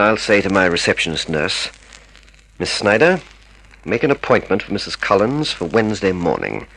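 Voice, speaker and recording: A man's voice: low-pitched, creaky, pushed and bright, full character voice, poor audio. A man acting in a radio drama as a cold, scheming murderer, methodical and commanding. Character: cold, calculating murderous male dentist, secret lover, scheming.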